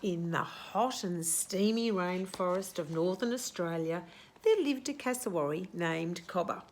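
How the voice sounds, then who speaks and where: A woman's voice: lowish voice, unhurried, darkish tone. A woman hosting a children's storytime, reading a picture book and explaining it simply with questions for young listeners. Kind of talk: storybook read-aloud with asides to young children.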